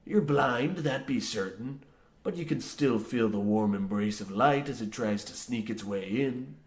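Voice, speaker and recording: An actor playing a grizzled, half-mad old coal miner, boastful and ominous, rambling theatrically in rustic archaic phrasing in a comic old-time radio horror play. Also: male voice, medium pitch, unhurried, natural voice. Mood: menacing, dramatic. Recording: roomy, echoing sound.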